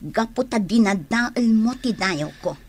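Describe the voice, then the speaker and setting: A woman acting an ambitious, scheming, insistent young woman in Ilocano radio drama, with an Ilocano accent and a drawn-out emotional delivery. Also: medium pitch, lively intonation, slightly rough. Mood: insistent, threatening.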